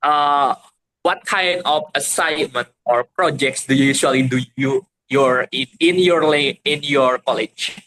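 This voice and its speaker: A man interviewing a fellow student over a video call, asking questions haltingly and searching for words. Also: mid-range voice, pushed and bright, rough recording. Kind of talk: casual interview chat.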